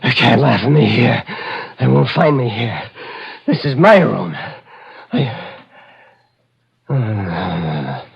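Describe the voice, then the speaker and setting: Gravelly, panicked haunted killer. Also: male voice, mid-range voice, very animated, full character voice. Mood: frightened, muttering. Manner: halting, stammering, muttering to himself. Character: panicked, guilt-haunted male murderer unravelling with nerves. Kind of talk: old-time radio drama acting. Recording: muffled vintage radio recording with music.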